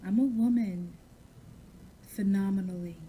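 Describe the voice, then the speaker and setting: Woman, warm dark tone, slow pace, theatrical poetry performance, self-assured. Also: medium pitch, a little hiss or hum. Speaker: poetry reader, actor.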